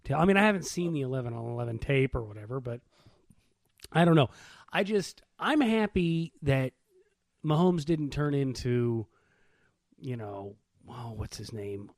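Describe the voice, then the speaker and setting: A man's voice: mid-range voice, lively intonation, moderate pace, gravelly, darkish tone, no room sound, clean studio sound. An American man chatting casually as a sports talk co-host, informal and joshing in his manner. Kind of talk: casual chat, sports banter.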